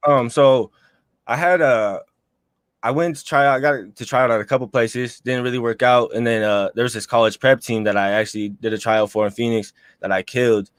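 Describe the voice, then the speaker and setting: A man's voice: medium pitch, steady tone, a little fast, smooth, no room sound, clean studio sound. A young man in his early twenties, a guest rapper, talking in a laid-back, slangy way during a casual interview. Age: 20s.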